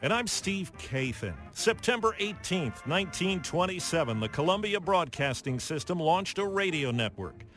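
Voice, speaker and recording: A man's voice: sits high, big pitch swings, normal speed, few pauses, a bit bright. An American man hosting a radio program, reading a scripted historical narration as a news anchor. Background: music.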